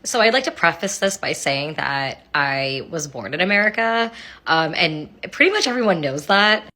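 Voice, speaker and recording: A woman's voice: sits low, expressive, normal speed, bright, forceful tone. A woman from New York telling a story to camera in an incredulous, wry way.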